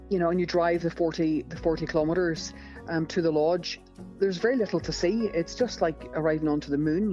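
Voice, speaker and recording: A woman with a Northern Irish accent speaks as a fishing guide on a podcast. Her voice is dark and mellow, and her telling runs on with fillers and restarts. The sound is a little dull and close, with faint music underneath. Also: deep voice. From Northern Ireland. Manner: rambling, enthusiastic storytelling with many fillers. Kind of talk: podcast interview guest.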